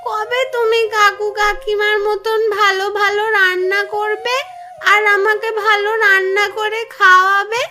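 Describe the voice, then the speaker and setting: Very high-pitched little village girl. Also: level tone, a little slow, sharp tone, full character voice. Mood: upset, pleading. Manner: childlike, plaintive. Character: poor little village girl, innocent, affectionate, wistful. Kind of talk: animated fairy tale dialogue.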